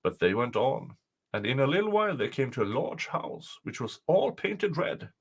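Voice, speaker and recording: Male storyteller with very animated intonation. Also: mid-range voice, husky. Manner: deliberate, dramatic phrasing. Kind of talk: expressive folktale reading.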